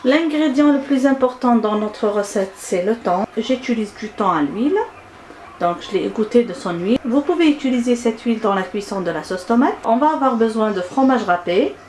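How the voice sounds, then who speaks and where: A woman from Algeria whose first language is Arabic. She speaks French with an Algerian accent, hosts a cooking channel and walks through a recipe step by step in a gentle, hesitant way with many filler sounds. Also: a little high, lively intonation, normal speed, a little hiss or hum.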